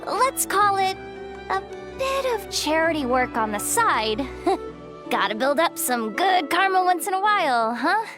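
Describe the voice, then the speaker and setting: A woman's voice: very high-pitched, big pitch swings, measured pace, over music, full character voice. An actress playing a breezy, self-assured, streetwise young woman in a video game, talking in a casual, teasing, slangy way. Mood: teasing, laid-back. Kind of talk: video game character acting.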